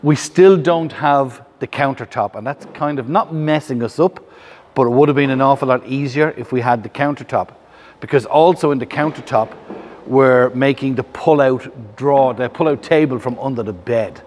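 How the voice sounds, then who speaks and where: A chatty Irish man in his fifties talks straight to a camera held at arm's length, upbeat and explaining as he goes. His voice sits at an ordinary middle pitch, and the sound is close and casual, recorded in a workshop and inside a van. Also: normal speed, darkish tone, a little hiss or hum. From Ireland. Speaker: vlogger host. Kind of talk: casual vlog narration. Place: workshop yard and inside a van under build.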